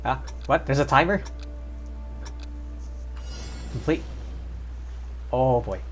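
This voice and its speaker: Casual male game streamer, mid-pitched. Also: measured pace, faint music behind. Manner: muttering reactions, repeats short phrases. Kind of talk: casual live gameplay commentary.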